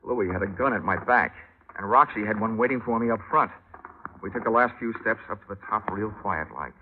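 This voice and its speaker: A man's voice: fairly low pitch, fairly quick, a little hiss or hum, close to an everyday voice. Man acting wry hardboiled radio detective, vintage sound. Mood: tense wry narration. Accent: American. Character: wisecracking hardboiled male insurance investigator narrating his case. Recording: dull vintage radio recording with loud music.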